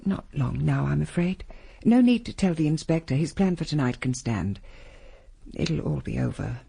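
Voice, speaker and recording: Husky, gravelly older lady. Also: sits low, animated, mellow, no room sound, exaggerated voice. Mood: composed, weary. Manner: measured, restrained. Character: composed, stoical older upper-class lady of the house. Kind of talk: radio drama acting and narration.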